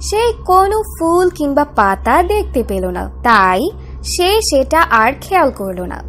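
A woman's voice: sits high, slight background noise. A woman narrating a scripted fairy tale in a dramatic storytelling style.

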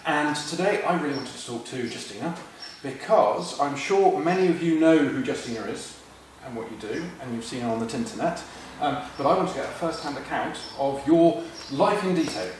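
A man's voice: fairly high pitch, expressive, a little fast, few pauses, slight background noise. Chatty male interviewer in a roomy garage. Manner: chatty, many ums and fillers. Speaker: interviewer, magazine host. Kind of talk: casual interview. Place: large workshop garage, indoors. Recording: echoey garage from far off.

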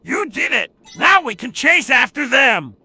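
A man voicing an excitable, urgent cartoon police chief in a video game. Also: extremely high pitch, a little slow, breathy edge, dry recording, pitched below the performer's own voice, full character voice. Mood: brisk, excited.

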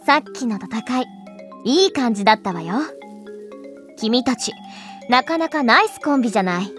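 A woman playing a small, bossy, breezy goddess in a Japanese anime game, casual, cheeky and quick to scold. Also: a little high, expressive, natural voice. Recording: music behind the voice.

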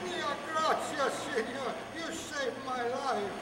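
Man doing a high-pitched, frightened old man. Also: takes it slowly. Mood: grateful. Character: panicky old Mexican man, humble and grateful. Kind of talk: old-time radio western acting. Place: stage in a community hall. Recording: echoey, noisy hall stage.

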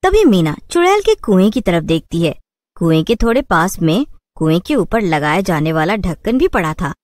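A woman's voice: fairly low pitch, sing-song, normal speed, darkish tone, dry recording. A woman storyteller reading scripted narration for a children's cartoon tale.

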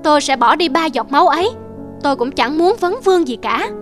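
Woman acting a haughty, high-voiced young wife. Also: lively intonation, a little fast, music in the background. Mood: cold, scornful. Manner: curt, haughty. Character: vain, cold, faithless young wife.

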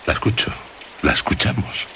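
A man's voice: moderate pace, sharp tone, a little hiss or hum, lightly acted. A man playing a formal, probing listener who questions a woman about her past in a radio drama. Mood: formal, reassuring.

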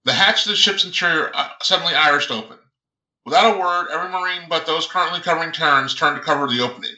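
A man's voice: on the high side, fast talker, bright, forceful tone. A man, an author reading his own science fiction novel aloud as dramatic narration, stumbling over a word now and then. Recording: roomy, echoing sound.

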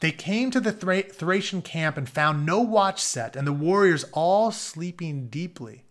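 A man in his thirties with a slightly high voice reads aloud from a storybook. He moves between narration and casual, plain-worded explanations for his listeners, recorded at a desk in a room at home. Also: a bit bright. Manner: informal, explains words in plain terms. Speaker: storyteller reading a book aloud. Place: carpeted home office, indoors.

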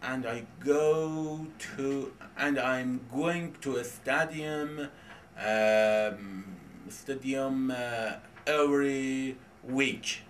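Young guy w persian accent, super slow halting english, lots of ums, mic far off, faint hiss. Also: mid-range voice, fairly even intonation. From Iran. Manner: halting, many pauses and fillers. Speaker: vlogger. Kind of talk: hesitant self-introduction. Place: home in Tehran. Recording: distant mic, faint background noise.

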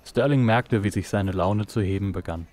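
A man's voice: on the low side, moderate pace, darkish tone. A man reading scripted narration for a noir audio drama.

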